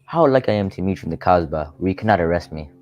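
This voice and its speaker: A man playing a smug, scheming gentleman villain in a radio mystery, taunting with mock politeness. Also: deep voice, lively intonation, racing, highs cut off. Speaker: actor in a student radio drama. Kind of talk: old-time radio mystery acting.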